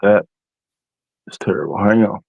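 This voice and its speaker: A man's voice: lowish voice, moderate pace, gravelly, a little mellow, no echo, highs cut off. A man in his fifties chatting casually on an outdoor live stream in a folksy, rambling storytelling way. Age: 50s. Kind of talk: casual live-stream chat about camping.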